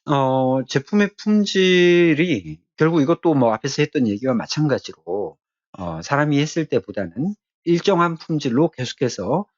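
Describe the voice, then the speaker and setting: A man giving an explanatory technical lecture as an instructor, speaking haltingly and repeating his key phrases. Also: on the high side, expressive, no echo, lo-fi sound.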